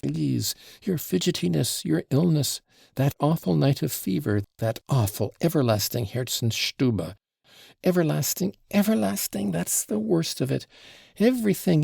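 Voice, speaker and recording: A man reading aloud and voicing an excitable, fretful middle-aged mother who gushes in a high, swooping voice. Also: vocal fry, breathy edge, dry recording, clean studio sound, full character voice. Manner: gushing, breathless, high and swooping. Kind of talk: audiobook reading of a classic novel.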